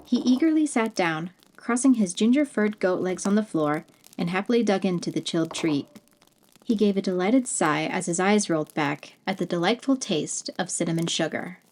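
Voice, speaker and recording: A woman narrating a fantasy story in a gentle, unhurried way. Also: lowish voice. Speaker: audiobook narrator.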